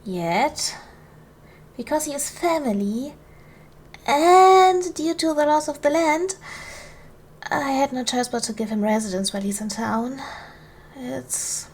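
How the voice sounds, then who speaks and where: A woman playing a gracious, commanding duchess in an ASMR roleplay, speaking in a formal, measured, soothing way to a servant she protects. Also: sits high. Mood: firm, indignant. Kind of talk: ASMR roleplay, soft formal period speech.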